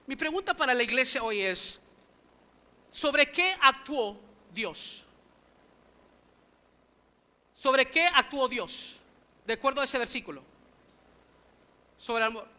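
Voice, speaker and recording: A man's voice: very high voice, expressive, measured pace, long pauses, bright, forceful tone. A male pastor preaching a sermon in a church, emphatic and full of rhetorical questions to the congregation, speaking in a language other than his native English. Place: church sanctuary.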